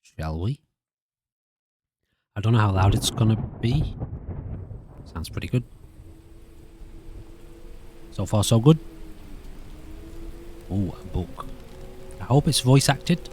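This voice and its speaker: A man's voice: lowish voice, animated, takes it slowly, long pauses, noisy background. A man hosting a gaming channel, giving casual, chatty let's-play commentary. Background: guitar music.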